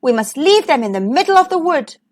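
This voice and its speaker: A woman's voice: sits high, very animated, fairly quick. A woman voicing a hard, insistent stepmother from a children's storybook, with a firm, commanding manner. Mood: stern, insistent.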